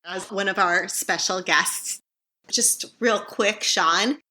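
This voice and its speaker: A woman's voice: medium pitch, slow, sharp tone, rough recording. A woman hosting a podcast, asking questions in a casual, conversational way. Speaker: podcast host, interviewer. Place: remote online call.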